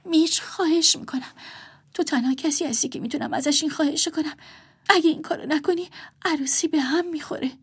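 A woman's voice: high-pitched, a little mellow, slightly rough, pitched above the performer's own voice. A woman voicing a desperate young woman, pleading earnestly with her brother.

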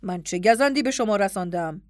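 A woman voicing a stern, composed male master of a household in an audiobook, calm and commanding. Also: medium pitch, no room sound, studio quality, lightly acted. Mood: composed, questioning. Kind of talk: audiobook dialogue.

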